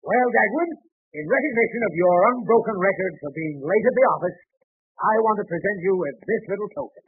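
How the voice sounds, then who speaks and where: A bossy American boss in a 1940s radio comedy. His voice is high, rough-edged and animated, barking out emphatic orders with a mischievous streak. The old recording sounds thin and boxed in. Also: a little fast. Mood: pompous. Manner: brusque, commanding, emphatic. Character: blustery, bossy middle-aged male boss with a mischievous streak. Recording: muffled, band-limited vintage radio recording.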